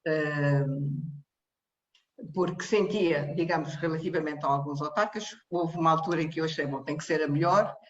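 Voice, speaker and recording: A woman from Cape Verde, the technical director of a social care charity, giving a personal testimony in a hesitant way, full of pauses and restarts. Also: low voice. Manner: hesitant, many fillers and restarts. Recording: echoey, muffled room sound.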